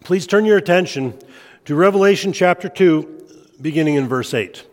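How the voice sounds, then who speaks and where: Man with a head cold lecturing. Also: a little high. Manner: relaxed, self-deprecating, quick to laugh. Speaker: church history lecturer. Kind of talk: informal lecture at a church meeting. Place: church meeting room. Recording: long hall reverb.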